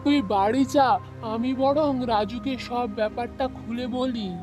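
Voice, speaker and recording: A woman's voice: fairly high pitch, a little slow, over music, natural voice. A woman voicing an anxious, agitated young man in a children's cartoon, with dramatic urgency. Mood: worried.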